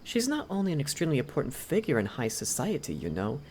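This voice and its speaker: A woman's voice: rumbling low, huge pitch swings, quick pace, a little mellow, a little hiss or hum, heavily acted. A woman voicing a devoted male head butler in an ASMR roleplay, speaking politely and formally to a noble lady. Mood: calm, attentive. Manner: polite, deferential, formal servant speech. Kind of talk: ASMR roleplay, scripted character acting.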